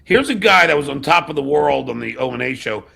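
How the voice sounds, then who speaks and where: A man's voice: a little high, expressive, fairly quick, vocal fry, sharp tone. A man hosting a livestream in casual chat, blunt and often swearing. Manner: profane, blunt. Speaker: host of a livestream show.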